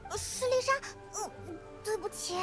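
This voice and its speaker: A tiny childlike fairy with a very high, cutesy voice. She is eager and chatty in an expressive anime game manner, with music clearly behind her. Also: moderate pace. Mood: flustered, apologetic.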